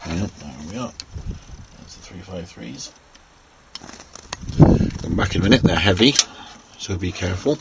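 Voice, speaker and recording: Male, low register, unhurried and hesitant; informal technical show-and-tell on a noisy amateur recording. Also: lively intonation, creaky. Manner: halting, hesitant, unscripted, thinking aloud. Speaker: vlogger giving a technical walkthrough.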